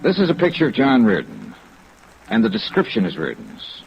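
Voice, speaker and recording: Cool radio investigator: gravelly, animated intonation, terse and probing. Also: male voice, fairly low pitch, normal speed, full character voice. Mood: businesslike. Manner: terse, probing, wry questioning. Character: cool, probing male insurance investigator, hard-boiled and persistent. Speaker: insurance investigator lead and narrator. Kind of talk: old-time radio detective drama acting. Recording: dull old radio recording, rain noise.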